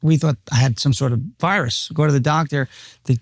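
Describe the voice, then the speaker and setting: A man with a lasting raspy voice, joking and laughing at himself as a guest on a casual panel talk show. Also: mid-range voice, brisk, dry recording, clean studio sound. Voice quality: raspy voice from vocal nodules.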